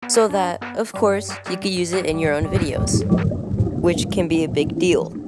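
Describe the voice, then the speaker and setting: A woman's voice: on the low side, moderate pace, loud music behind the voice. A woman giving a casual how-to voice-over as a video creator.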